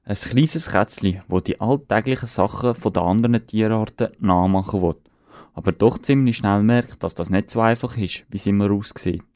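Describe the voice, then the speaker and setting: A man with a fairly low voice presents radio links from a script. The sound is close and dry, with no room around him. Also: normal speed, a little mellow, no room sound.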